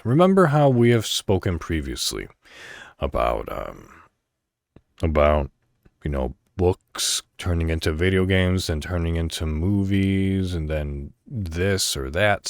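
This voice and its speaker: A man's voice: fairly low pitch, no echo, studio quality. A man hosting a solo podcast in a casual, conversational and somewhat rambling way. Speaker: podcast host. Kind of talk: casual solo podcast talk, reading articles aloud.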